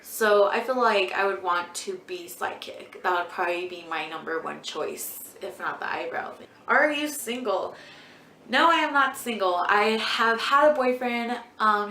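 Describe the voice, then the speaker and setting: A woman's voice: mid-range voice, measured pace, sharp tone, a little hiss or hum. A twenty-year-old woman answering viewer questions as a beauty vlogger in a casual, rambling way, full of ums and likes. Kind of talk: casual Q&A chat. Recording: echoey room.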